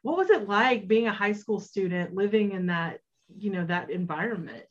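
A woman hosts a weekly arts radio show, welcoming her guest in a friendly, chatty way at a middle pitch. The recording sounds dull and lo-fi. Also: lively intonation, normal speed. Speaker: radio host. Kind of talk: radio interview.

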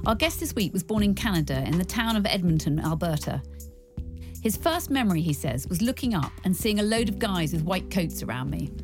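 A woman co-hosting an interview podcast, reading a scripted introduction and asking questions in a measured, formal way. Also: lowish voice, big pitch swings, over music. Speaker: podcast co-host.

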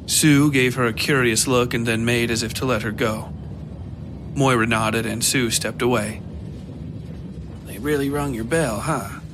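A man reading dark fiction as a scripted narration. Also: mid-range voice, noisy background.

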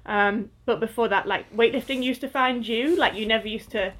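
A woman's voice: fairly high pitch, normal speed, fairly bright tone. A woman in her twenties from Northwest England, a guest athlete chatting casually in an interview, with lots of fillers and easy laughter. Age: 20s.

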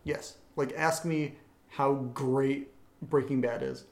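A man hosts a casual podcast Q&A in a roomy, echoing space. His voice sits at an average pitch as he reads out questions and chats informally. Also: steady tone, slow, slight background noise. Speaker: podcast host. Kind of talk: casual chat, reading listener questions. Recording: echoey room.

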